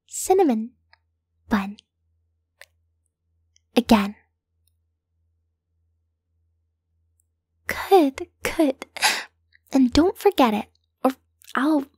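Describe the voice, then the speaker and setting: A woman voicing a lovesick, possessive yandere kidnapper in an intimate roleplay audio, doting and coaxing in a breathy voice that often sinks into whispers. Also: on the high side, a little mellow, no room sound, heavily acted. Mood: coaxing, mock-stern. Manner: doting, coaxing, slow and lingering.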